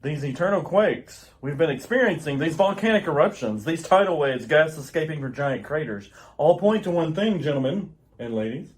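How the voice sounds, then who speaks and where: A woman's voice: sits low, animated, normal speed, few pauses, darkish tone, pitched below the performer's own voice. A woman playing a grave male scientist who warns urgently of doom in an amateur old-time radio drama. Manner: solemn, urgent, declamatory.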